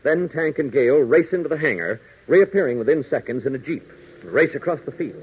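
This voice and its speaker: A dull, noisy vintage radio recording carries a male narrator with a raised, sweeping pitch. He delivers a 1940s adventure serial theatrically, as narrator and announcer. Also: expressive, fairly quick.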